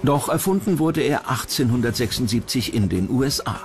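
A man's voice: medium pitch, expressive, brisk. A man from reading scripted documentary narration. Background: music bed under the voice.

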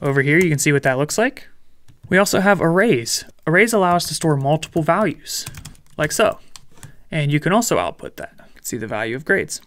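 A young man explaining programming in a relaxed, friendly way over a screen recording, with light jokes. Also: on the high side, fairly quick. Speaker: programming instructor. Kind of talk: screen-recorded coding tutorial.

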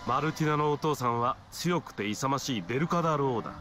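An actor playing a kind, dependable young father king in a video game, speaking warmly and reassuringly with nobility. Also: mid-range voice, natural voice. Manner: warm, reassuring, noble. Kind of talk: dramatic video game voice acting. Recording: prominent game music behind.

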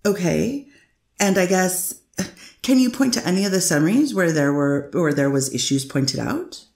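Lady reading a defense lawyer grilling a witness, lowish gravelly voice, echoey room. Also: expressive, moderate pace, darkish tone. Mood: probing. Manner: probing questions with frequent "I guess" fillers. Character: persistent female defense lawyer. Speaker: defense attorney cross-examining. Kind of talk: amateur readers theater reading of a court transcript.

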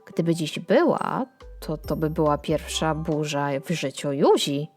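A woman voicing a calm, practical father in a children's audio story. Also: low-pitched, a little slow, faint music behind, voice pushed down. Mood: musing.

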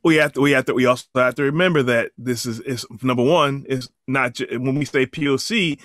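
A man's voice: medium pitch, a bit bright, dry recording, studio quality. A man co-hosting a casual podcast, easygoing, with frequent restarts and chuckles. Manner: easygoing, halting restarts, laughs often. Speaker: podcast co-host.

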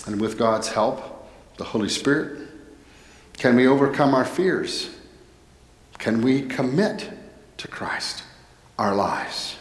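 A middle-aged American man delivers a sermon in an earnest, deliberate way, often shaping his thoughts as rhetorical questions. His voice sits at a medium pitch. The sound is echoey, with the long reverb of a church interior around his headset-miked speech. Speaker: pastor. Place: indoor church, at a pulpit. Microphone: headset microphone.

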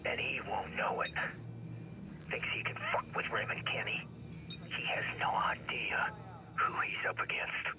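An actor voicing a cocky, crude, easygoing middle-aged hacker in a video game, folksy, slangy and wisecracking. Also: male voice, unhurried, pauses often, background noise, lightly acted. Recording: loud music over game dialogue.

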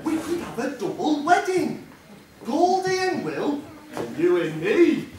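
A woman playing a pompous, lovestruck gentleman in an amateur stage pantomime, declaiming in an exaggerated way. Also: high voice, big pitch swings, measured pace. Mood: smitten, grandiose. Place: theatre stage, filmed from far off. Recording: echoey noisy theatre, distant microphone.

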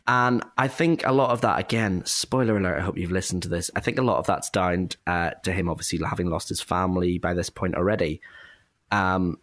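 A man's voice: sits low, fairly quick, fairly bright tone, no echo, clean studio sound. A man chatting casually and reflectively about acting in and directing an audio drama. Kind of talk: casual behind-the-scenes chat.

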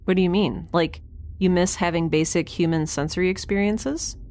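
A woman's voice: on the low side, steady tone, moderate pace, a little mellow, a little hiss or hum, lightly acted. An actress playing a candid, curious young woman in a science-fiction audio drama, chatty, informal and wry.

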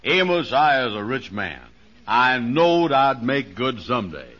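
A man's voice: medium pitch, big pitch swings, unhurried, a bit bright. An actor playing a boastful, naive, self-important man with folksy, ungrammatical delivery in a vintage radio comedy. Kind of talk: 1940s radio comedy acting. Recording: dull vintage radio recording.

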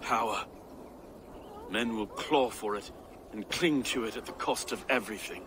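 An actor playing a grave, wary, steadfast soldier in a video game, with earnest, deliberate phrasing. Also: male voice, lowish voice, noisy background, lightly acted. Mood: troubled, grave. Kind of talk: dramatic video game acting.

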